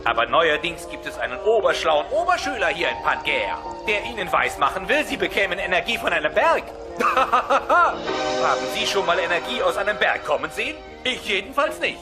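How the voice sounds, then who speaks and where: A man's voice: sits high, big pitch swings, normal speed, over music. A man playing a smarmy dinosaur company spokesman in a parody TV commercial, falsely caring and insinuating. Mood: smarmy, persuasive.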